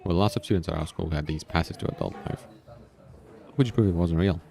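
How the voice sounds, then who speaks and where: A man's voice: low-pitched, fast, creaky, darkish tone. A man reading a visual novel aloud, breaking in with casual, informal comments of his own. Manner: informal, reacting to the story with asides. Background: background music.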